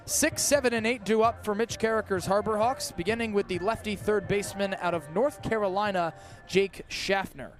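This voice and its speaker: A man doing live radio baseball play-by-play commentary as the broadcaster, with punchy, clipped calls that build toward big, drawn-out plays. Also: high-pitched, soft background music.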